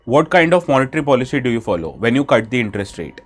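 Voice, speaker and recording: A man's voice: mid-range voice, very animated, quick pace. A man with an Indian English accent, who has a bad cold, gives a live exam-prep lecture and keeps asking his students questions. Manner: asks students questions, switches briefly into Hindi.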